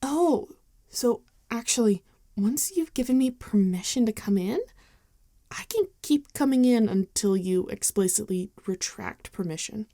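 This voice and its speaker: Caring female vampire friend, played by a woman, ASMR roleplay: mellow, hesitant, very close and dry sound. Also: mid-range voice, lively intonation, no room sound. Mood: caring, reassuring. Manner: hesitant, gentle, halting with soft sighs. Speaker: ASMR voice actor. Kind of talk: ASMR roleplay acting, intimate and conversational.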